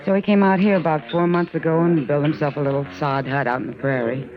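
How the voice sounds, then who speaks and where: A woman's voice: low voice, fairly even intonation, fast, lightly acted. Friendly, concerned frontier woman played by an actress, informal delivery, 1950s radio western with muffled sound. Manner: informal, coaxing. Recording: muffled old radio broadcast, crowd behind.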